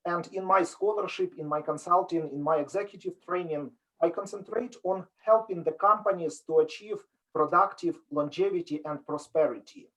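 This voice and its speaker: A middle-aged man with a Ukrainian accent lectures on business in an earnest, explanatory way. His voice sits fairly high for a man. He often restarts his phrases as he works through a point. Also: poor audio. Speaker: business professor giving a talk. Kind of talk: online lecture presentation.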